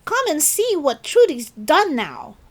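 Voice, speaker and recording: Woman as cheeky schoolboy, raised animated pitch. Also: slow pace, heavily acted. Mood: taunting. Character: teasing, cheeky schoolboy classmate.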